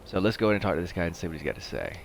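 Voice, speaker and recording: Deep-voiced man streaming with a head cold. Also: very fast, gravelly, a little hiss or hum. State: congested from a head cold, sniffing. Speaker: game livestream host. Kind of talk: casual rambling gameplay commentary.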